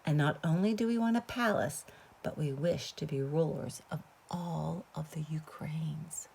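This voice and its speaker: A woman's voice: sits low, unhurried, gravelly, a little mellow, slight background noise. A woman voicing a greedy, demanding peasant mother in a storybook, scolding and weary. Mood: bossy, demanding.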